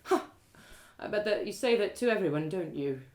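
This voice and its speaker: Woman playing a gruff warrior woman. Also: medium pitch, animated, normal speed, close to an everyday voice. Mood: dry skepticism. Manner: dry, skeptical. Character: large muscular hooded warrior woman, gruff drinker.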